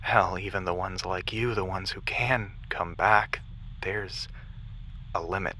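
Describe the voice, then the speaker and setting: Male actor as weary sci-fi military major, low forceful voice, commanding, protective, intense, steady humming noise behind. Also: a little slow, poor audio. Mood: grim, regretful. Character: determined, weary military major at mission control, defiant and protective. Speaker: voice actor in audio roleplay. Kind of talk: dramatic sci-fi audio roleplay acting.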